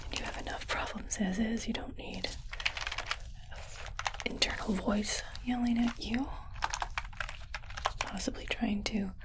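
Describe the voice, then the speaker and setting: A woman playing a calm, clinical but kindly alien medic in a whispered ASMR roleplay, hesitant and softly reassuring. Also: medium pitch, natural voice. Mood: concerned, reassuring. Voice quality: breathy unvoiced whisper.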